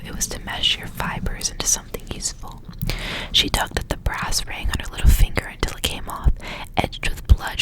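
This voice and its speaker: A woman whispering as an ASMR host, reading stories aloud with casual asides in a hushed, unvoiced delivery. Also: poor audio. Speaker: ASMR reader, host of the channel.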